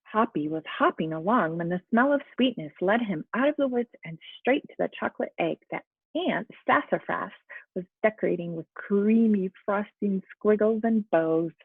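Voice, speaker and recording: A woman's voice: mid-range voice, expressive, normal speed, husky, no room sound. A woman teacher speaking encouragingly to young children, as in a lesson and storybook read-aloud, with muffled sound. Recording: muffled, phone-like narrow-band sound.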